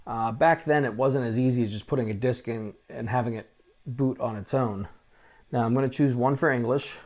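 Man in his forties, relaxed tech host, chatty with fillers, lapel mic, small indoor room. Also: medium pitch, normal speed. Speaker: vintage tech channel host. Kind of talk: casual hands-on tech walkthrough.

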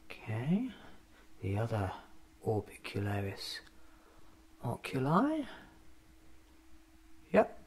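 A man in his thirties doing an ASMR roleplay as a scatterbrained, excitable alien doctor, muttering to himself in fragments, often in a whisper. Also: mid-range voice, slow, pauses often. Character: scatterbrained, excitable time-travelling alien doctor, newly regenerated. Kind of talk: ASMR roleplay acted as a monologue.

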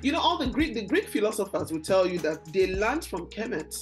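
A woman's voice: medium pitch, very animated, fairly quick, music in the background. A woman with a Nigerian accent hosting an interview podcast over a video call, enthusiastic, often repeating words as she searches for phrasing. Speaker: podcast host. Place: room with bookshelves and red sofa.

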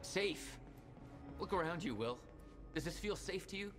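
A sarcastic, swearing action-game hero, pitched quite high. He is wry and blunt, with loud music behind him. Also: lively intonation, measured pace. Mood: bitter. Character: gruff, sarcastic younger brother, action hero under fire. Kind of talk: naturalistic video game acting.

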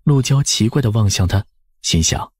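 Low-voiced, husky man narrating a novel. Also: animated, moderate pace, darkish tone, no echo, studio quality. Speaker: audiobook narrator.

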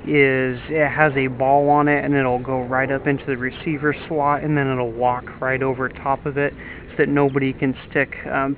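Man with a tinny, phone-like sound. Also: medium pitch. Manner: relaxed, explanatory, with fillers and restarts. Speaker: DIY channel host. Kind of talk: casual walkthrough vlog. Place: inside an empty cargo trailer. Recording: tinny lo-fi audio with faint background noise.

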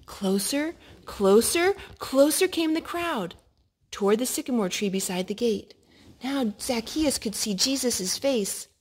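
A woman reading a picture book aloud to a child in an expressive way, pausing to ask questions. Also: fairly high pitch, husky. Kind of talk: storytime read-aloud. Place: living room indoors at a couch.

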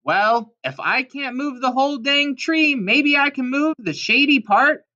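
A woman's voice: sits high, animated, measured pace, bright, forceful tone, muffled recording, pitched above the performer's own voice, full character voice. A woman voicing a plucky, folksy cowboy in a children's storybook read-aloud. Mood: determined. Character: folksy, plucky cowboy man thinking problems through.

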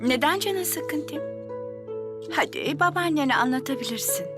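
An actress voicing a kind, gentle grandmother in a dubbed cartoon, speaking softly and reassuringly. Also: high-pitched, expressive, moderate pace, loud music behind the voice. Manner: soft, reassuring, coaxing. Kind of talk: cartoon dubbing.

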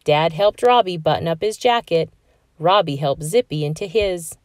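A woman reading a children's story aloud in a measured, expressive way. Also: low voice, no echo, studio quality. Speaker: storybook reader. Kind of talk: children's read-aloud narration.

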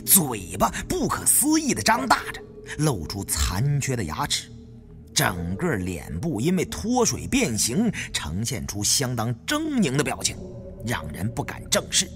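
A man's voice: mid-range voice, wildly swooping pitch, unhurried, faint music behind. A man narrating a suspenseful story in a theatrical, dramatic audiobook style.

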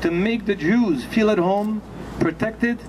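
A middle-aged man with a high voice delivers a prepared rally speech outdoors. He is earnest and deliberate, building his points phrase by phrase. Heavy city noise and traffic sit behind his handheld microphone. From Morocco. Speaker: humanitarian organization founder, rally speaker. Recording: noisy outdoor street recording. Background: loud street traffic noise.

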